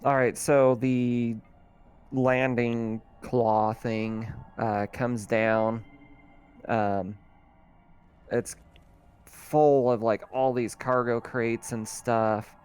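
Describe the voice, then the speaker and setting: A man's voice: medium pitch, flat delivery, very slow, a little mellow, clean tone. A man narrating a tabletop roleplaying game as game master, in a casual, conversational, improvised way. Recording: music under the voice.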